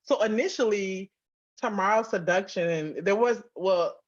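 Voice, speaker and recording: A woman's voice: medium pitch, a little slow. Woman chatting candidly, dull lo-fi sound. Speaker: guest, author and audio drama writer-producer. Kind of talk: casual, conversational interview answers.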